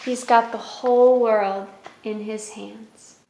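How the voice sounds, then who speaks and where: A woman's voice: on the high side, steady tone, slow, gravelly, darkish tone, slight background noise. A woman hosting a children's story time, reading aloud and explaining in a simple way. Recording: roomy echo with music.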